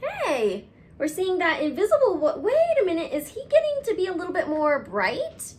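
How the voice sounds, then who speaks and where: A woman reading a picture book aloud to children, expressive and cutesy, talking directly to her young listeners. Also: very high voice, moderate pace, slight background noise. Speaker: read-aloud host, storyteller for children.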